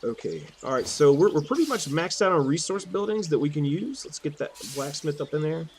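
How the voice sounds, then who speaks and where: A man's voice: fairly high pitch, very animated, a little fast, a little hiss or hum. A man hosting a let's play, thinking aloud in a casual, self-deprecating way. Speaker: gaming video host. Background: game music and effects.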